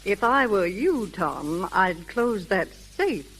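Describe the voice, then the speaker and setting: A woman's voice: mid-range voice, big pitch swings, takes it slowly, fairly bright tone, heavily acted. Nervy genteel middle-aged mom, lots of up and down in her voice, crackly old radio drama. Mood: uneasy, genteel. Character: jumpy, watchful, genteel mother of a grown son. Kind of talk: 1930s American radio drama acting. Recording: hissy, crackling 1930s radio recording.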